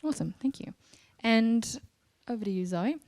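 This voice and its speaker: A woman's voice: on the high side, animated, moderate pace, darkish tone. A woman moderating a panel discussion in a conversational way, as its host. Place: live event in front of an audience.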